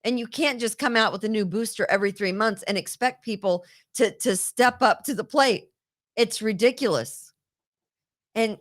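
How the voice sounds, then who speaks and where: Woman talk show host, opinionated, fast and indignant, repeats points. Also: a little high, fairly bright tone. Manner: indignant, rapid, repeats herself. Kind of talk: opinionated political chat. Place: New York.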